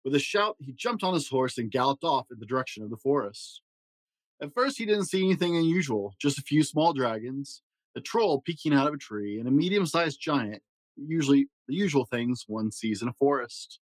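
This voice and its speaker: A man reading a children's fairy tale aloud in a gentle storytelling manner. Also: lively intonation, moderate pace, no echo. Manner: gentle storytelling with varied pitch for emphasis. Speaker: storybook reader.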